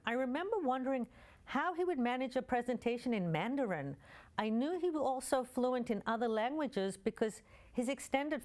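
A woman in her fifties hosting a parenting show from a studio. Her voice sits somewhat high, and she presents her introduction clearly and evenly, as if reading to camera. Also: darkish tone. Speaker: show host. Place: studio with dark curtain.

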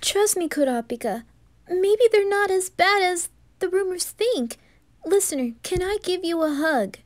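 A woman voicing a sweet, soft-hearted young boy adventurer, earnest and pleading, in a fan roleplay drama. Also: extremely high pitch, expressive, a little slow, voice pushed up.

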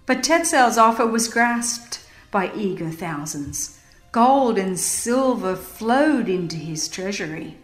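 A woman narrating a religious history audiobook in a solemn, emphatic, formal manner. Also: mid-range voice. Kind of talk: dramatized scripted narration, reading a religious history. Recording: echoing voice over music. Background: music.

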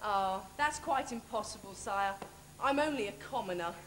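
A woman's voice: medium pitch, animated, moderate pace, lightly acted. A young English actress playing a cheeky young male valet in a stage pantomime, with a projected, declamatory delivery. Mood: doubtful. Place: large theatre stage, far camera. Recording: echoey, noisy theatre videotape.

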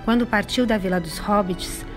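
A woman's voice: mid-range voice, moderate pace, music in the background. A woman with a Brazilian accent giving a scripted storybook narration.

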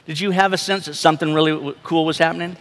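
A man's voice: on the high side, fairly even intonation, very fast, fairly bright tone. A middle-aged man hosting a live stage event with enthusiasm through a hall PA. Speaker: stage host. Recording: large hall PA, crowd behind.

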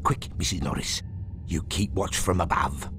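An actor with a British accent playing a mean old caretaker in a video game, muttering menacingly in a gravelly voice and doting on his cat. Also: low voice, moderate pace, a bit bright, slight background noise. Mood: gloating, scheming. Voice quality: gravelly, creaky. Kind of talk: video game character acting.

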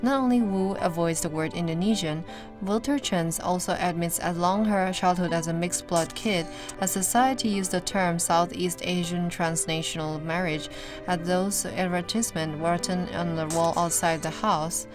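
Woman, low-pitched, even scripted documentary voice-over, loud background music. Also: moderate pace. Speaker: English dubbing voice for a documentary report.

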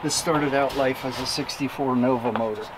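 A man giving a casual tour of his cars, explaining engines in a relaxed, matter-of-fact way with the odd chuckle. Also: medium pitch, moderate pace, over a noisy crowd, rough recording. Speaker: upholsterer showing his cars. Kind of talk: casual shop tour chat.

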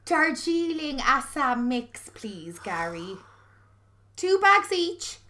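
An actress playing a polite, self-important boss of a small agency in an audio drama, precise and condescendingly courteous. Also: female voice, high voice, very animated, takes it slowly, pushed and bright, heavily acted.

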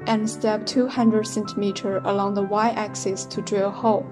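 A woman's voice: medium pitch, level tone, normal speed, over music. A young woman with a Chinese accent, reading numbered machine setup steps in a plain, methodical way. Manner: plain, methodical step counting. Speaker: product demonstrator. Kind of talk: step-by-step instructional voice-over.

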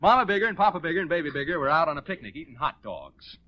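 High-pitched, animated American radio quiz host. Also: male voice, very fast. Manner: jocular, showman-like, addressing contestants and audience. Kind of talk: 1940s radio game show hosting.

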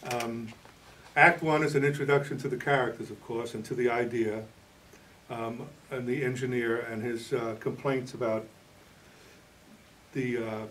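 Older man, measured, slightly distant mic. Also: mid-range voice, steady tone, fairly bright tone, a little hiss or hum. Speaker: interview guest, playwright. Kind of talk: television interview, explaining. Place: indoor TV studio, green screen.

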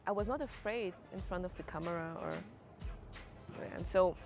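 A woman's voice: fairly low pitch, moderate pace, darkish tone, soft background music. A young woman from Switzerland with a Swiss German accent, a guest on a TV show who talks hesitantly and searches for English words, recorded outdoors on a terrace with a lapel mic. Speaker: guest, actress and comedian.

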